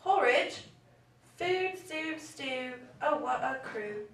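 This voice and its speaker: A woman performs a curious, fussy little girl in a puppet show, with a high voice. The rhymes come out slowly and deliberately in a singsong. It is picked up from far off in a roomy space with faint noise. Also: animated, gravelly, fairly bright tone, exaggerated voice. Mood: playful, puzzled. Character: Goldilocks, wandering young girl, fussy and curious.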